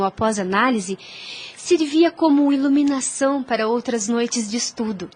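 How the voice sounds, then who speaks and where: Woman playing a high-voiced, devout Brazilian young girl. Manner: earnest, preaching, measured. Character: gentle, devout young girl, wise religious teacher. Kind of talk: audio drama acting.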